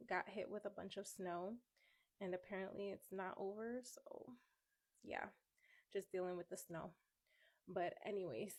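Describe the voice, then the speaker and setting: A woman's voice: mid-range voice, no room sound. Young woman w a stuffy cold voice, chatty coding tutor, dry close sound. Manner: chatty, explains step by step. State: congested from a cold. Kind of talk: casual study walkthrough. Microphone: phone camera.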